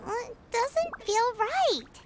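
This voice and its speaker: A woman voicing a small, childlike, chirpy fairy companion in a video game, squeaky and exclamatory. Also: extremely slow, background noise, full character voice. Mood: indignant. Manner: exclamatory, expressive. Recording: music behind the voice.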